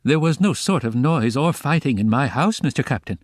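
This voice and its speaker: A man's voice: medium pitch, animated, no echo, clean studio sound, close to an everyday voice. A man voicing a flustered German landlady with a German accent, pattering out an indignant complaint. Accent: German accent put on. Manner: pattering, rapid, aggrieved complaint.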